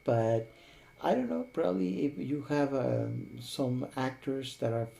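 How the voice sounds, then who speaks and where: Gravelly-voiced man giving a casual review. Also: mid-range voice, unhurried, dark tone. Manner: rambling, repeatedly checks with "okay?" and "you know". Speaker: software reviewer. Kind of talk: casual unscripted review talk.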